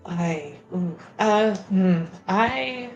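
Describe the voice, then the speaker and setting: A woman playing a tabletop roleplaying game, talking in a hesitant, halting way as she searches for words. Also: mid-range voice, animated, dull audio, faint music behind. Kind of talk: unscripted in-character roleplay and table talk.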